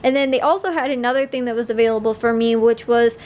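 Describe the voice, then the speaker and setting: A woman's voice: on the high side, steady tone, fast, darkish tone, highs cut off. A young woman vlogger chatting casually, rambling with lots of fillers and a slightly sniffly voice. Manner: chatty, rambling with many fillers and little laughs. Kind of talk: casual chat.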